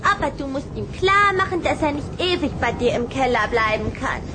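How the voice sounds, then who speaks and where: Very high-voiced, emotional little vampire girl. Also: expressive, normal speed, noisy background. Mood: concerned, chatty. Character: young vampire girl, affectionate, romantic and excitable. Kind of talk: German children's audio drama acting.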